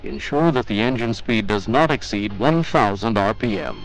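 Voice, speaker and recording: A man's voice: fairly low pitch, expressive, moderate pace, poor audio. Male military training film narrator, music behind. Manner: formal, methodical step-by-step delivery. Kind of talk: scripted instructional narration.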